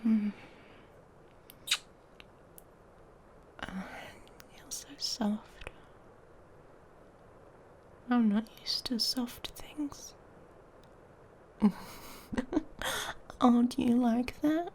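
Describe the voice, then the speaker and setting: A woman playing a haughty, domineering female werewolf in an intimate ASMR roleplay, mocking and commanding, often dropping into a breathy whisper. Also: fairly high pitch, extremely slow, pauses often, a little mellow, slight background noise. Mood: seductive, teasing. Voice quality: husky, breathy.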